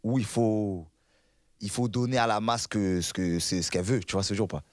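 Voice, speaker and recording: A man interviewing a guest in a relaxed, slangy way, stumbling over words and dropping in quick agreements. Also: lowish voice, dry recording, studio quality. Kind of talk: casual interview chat.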